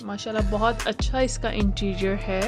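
A woman's voice: mid-range voice, steady tone, normal speed, loud music behind the voice. A woman narrating a casual family day-out vlog in a cheerful way, often mixing English phrases into her speech. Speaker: vlogger.

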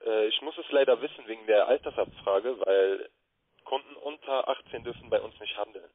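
A man's voice: lowish voice, brisk, no room sound. Male sales caller on a muffled phone line.

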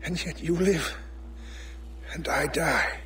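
A man's voice: on the high side, slow pace, a bit bright, a little hiss or hum. An actor playing a proud, bitter commander who is dying, in a video game, his breath weak and fading. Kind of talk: video game drama acting.

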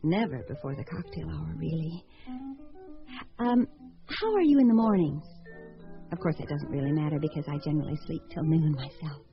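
A woman's voice: sits low, lively intonation, normal speed, creaky. A woman acting in a radio drama as a wry, fluttery entertainer who muses aloud about her failed proposals. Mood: flustered, coy. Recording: dull lo-fi radio sound, faint music.

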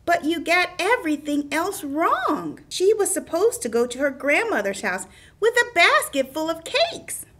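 An exasperated shaggy dog puppet voiced by a woman in a children's puppet show. The high voice swings widely in pitch as it corrects a friend, insistent, emphatic and scolding. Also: very animated, measured pace, a bit bright, exaggerated voice. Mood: exasperated, correcting. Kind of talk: children's puppet theater dialogue.

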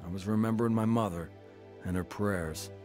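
Actor as an earnest, low-voiced man narrating his past. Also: normal speed, music in the background, natural voice. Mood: reflective, grave. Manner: earnest, reflective. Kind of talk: scripted first-person radio drama narration.

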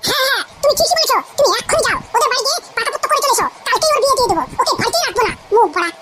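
Casting: quarrelsome, stubborn village cartoon female, piercingly high voice, quick melodramatic delivery. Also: expressive, a little hiss or hum, exaggerated voice. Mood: angry, agreeing. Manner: sharp-tongued, melodramatic. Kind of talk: exaggerated comedy cartoon dialogue.

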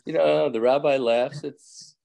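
Older rabbi with a thick New York accent, gravelly, chatty, on a home video call. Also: male voice, mid-range voice, steady tone, measured pace, warm tone. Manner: rambling, joking, laughs often, many restarts. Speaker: rabbi teaching an evening class. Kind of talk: casual chat with class members. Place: indoors at home. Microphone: video call from a phone or webcam.

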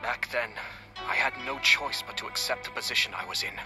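A man's voice: medium pitch, a little fast, lightly acted. An actor voicing a poised young male clan commissioner who speaks courteously but with veiled threats, in a game dub. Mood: reflective. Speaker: game character. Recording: game audio with music behind.

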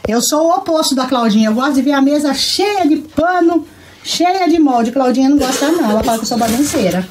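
A woman's voice: high-pitched, fairly quick. A woman with a Brazilian Portuguese accent, chatting casually while demonstrating sewing on a live stream in a workroom. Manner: chatty, lively, laughs often. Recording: noisy sewing room. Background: sewing machine noise.

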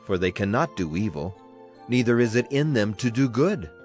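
A man narrating scripture in a measured, solemn dramatized reading. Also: on the low side, big pitch swings, darkish tone, faint music behind. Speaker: Bible narrator.

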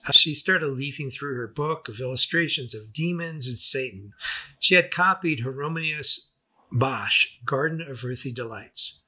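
Husky, very bright male narrator. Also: mid-range voice, animated, a little slow. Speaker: author and narrator. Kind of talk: scripted first-person memoir narration.

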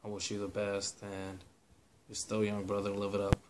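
Laid-back man, low flat voice. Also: normal speed, noisy background.

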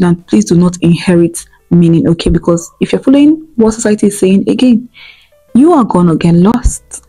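A woman's voice: mid-range voice, dark tone, rough recording. Earnest Igbo-accented young woman, lapel mic. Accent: Nigerian (Igbo). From Nigeria. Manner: earnest, repeats key points, checks in with "okay?". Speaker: vlogger. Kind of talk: motivational talk to camera. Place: indoor room at home.

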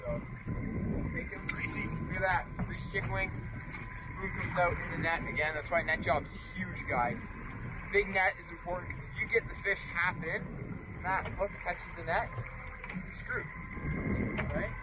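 A man's voice: sits high, steady tone, normal speed, long pauses. A young man chatting casually and slangily to viewers as a fishing vlog host, often repeating his phrases. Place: small open motorboat on open water. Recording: loud outdoor boat and water noise.

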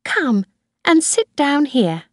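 A woman's voice: sits high, very animated, slow, dry recording, clean studio sound, pitched above the performer's own voice, exaggerated voice. A woman voicing a stern elderly grandmother in a storybook, kindly but bossy, with a firm, admonishing manner. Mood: kindly, serious.

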